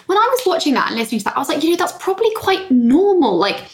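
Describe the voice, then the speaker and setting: A woman's voice: high-pitched, sing-song, rapid-fire. A young woman co-hosting a podcast, rambling casually and saying "like" a lot. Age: 20s. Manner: rambling, heavy use of "like". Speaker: podcast co-host. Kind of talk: casual chat.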